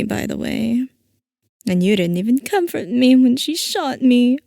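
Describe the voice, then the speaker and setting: A woman's voice: fairly high pitch, dark tone, dry recording, studio quality. A woman playing a playful, theatrical mad-scientist villain boss in a roleplay audio, coy and mock-innocent as she teases. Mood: indignant, defensive. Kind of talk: scripted roleplay audio, ASMR-style character acting.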